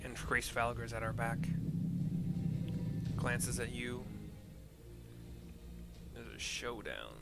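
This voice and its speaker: Man, game streamer, unhurried pace, casual scene description, hesitant, colloquial, loud music underneath. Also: mid-range voice, lively intonation, gravelly. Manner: hesitant, colloquial, mildly sweary. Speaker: video game streamer narrating story scenes. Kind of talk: casual audio description of game cutscenes and dialogue readout.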